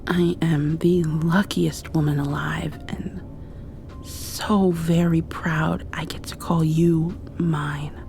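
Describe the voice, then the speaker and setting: A woman doing an ASMR roleplay, drifting between whispers and soft speech in an affectionate, playfully scolding way. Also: deep voice, little pitch movement, slow, creaky, slight background noise.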